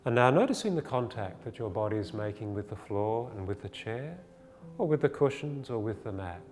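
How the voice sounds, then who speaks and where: A man with a fairly low voice leads a guided meditation. He speaks in a soothing, unhurried way and leaves pauses between instructions. His voice carries a long reverb, and faint music plays behind it. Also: lively intonation, a little mellow. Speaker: meditation teacher. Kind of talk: guided body scan meditation.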